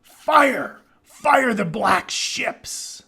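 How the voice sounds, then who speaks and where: A man voicing a frenzied Trojan war hero from a storybook, shouting commanding battle orders. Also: very high voice, very animated, very slow, vocal fry, husky, voice pushed up, full character voice. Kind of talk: dramatic storybook read-aloud.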